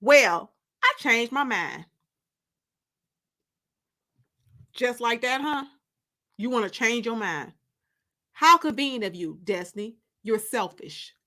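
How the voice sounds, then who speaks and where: A woman's voice: a little high, lively intonation, unhurried, bright, forceful tone. A woman voicing a tall, dismissive young man who talks in a curt, taunting, colloquial way in a dramatic audiobook. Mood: stern.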